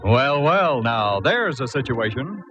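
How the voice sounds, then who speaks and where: A man's voice: medium pitch, big pitch swings, slow, muffled recording. A man announcing in 1940s radio style as the program's announcer. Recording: band-limited old radio recording. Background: loud organ music.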